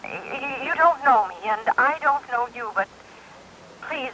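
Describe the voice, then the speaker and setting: On a faintly hissy old radio recording, an urgent, determined woman. Her highish voice is tense and halting, with an insistent edge typical of radio drama. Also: lively intonation, pushed and bright, full character voice, poor audio. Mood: urgent pleading. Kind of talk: 1950s radio drama acting.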